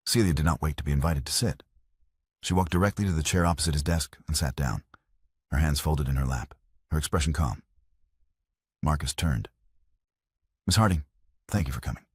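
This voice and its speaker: A man's voice: extremely low pitch, a little fast, breathy edge, no echo, studio quality. A man reading a scripted romance story as an audiobook narrator.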